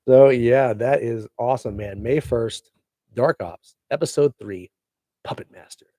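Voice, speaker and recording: A man's voice: medium pitch, gravelly, darkish tone, no echo. A man who is an actor hosting his own show, chatting casually to viewers in a laid-back, slangy way that hypes up his news, speaking into a handheld mic held close to his face.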